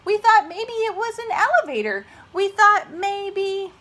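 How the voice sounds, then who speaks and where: Plucky, bossy small girl for a picture book, performed by a woman, emphatic, high, very animated. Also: unhurried, heavily acted. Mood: sheepish explaining. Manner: bold, emphatic. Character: bold, bossy, fearless little girl.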